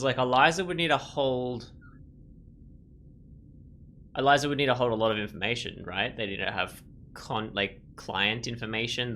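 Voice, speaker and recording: A man's voice: fairly low pitch, bright, forceful tone, slight background noise. A man giving casual let's play commentary, thinking aloud in a rambling, musing way. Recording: faint game music behind.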